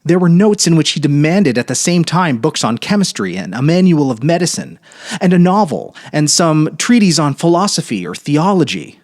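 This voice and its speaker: A male storyteller with a slightly high voice and very lively intonation. He delivers scripted fiction as a dramatic reading. His phrasing is theatrical and measured. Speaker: storyteller, podcast narrator.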